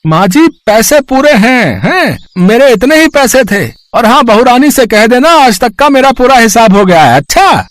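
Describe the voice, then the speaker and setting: A man acts out a cheating village-style milkman for a story. His pitch is extremely high and the recording dry, his manner folksy and falsely deferential. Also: very animated, moderate pace, fairly bright tone, dry recording, heavily acted. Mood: sly.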